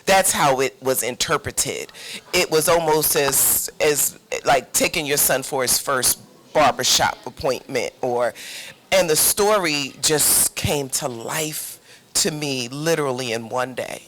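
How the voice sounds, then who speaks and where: A woman's voice: rumbling low, unhurried, fairly bright tone. A middle-aged woman being interviewed as a playwright, telling her story conversationally.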